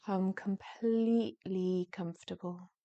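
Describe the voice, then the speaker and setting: A woman leading a guided yoga nidra relaxation in a gentle, soothing manner, with soft phrases that turn breathy at the ends and long pauses between them. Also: medium pitch, fairly even intonation, lo-fi sound.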